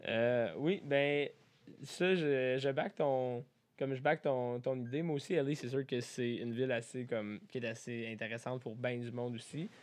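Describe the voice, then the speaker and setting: A young man speaks with a French Canadian accent at an ordinary male pitch. He chats casually as a podcast co-host, in an informal way sprinkled with English slang. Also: steady tone, normal speed. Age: young adult.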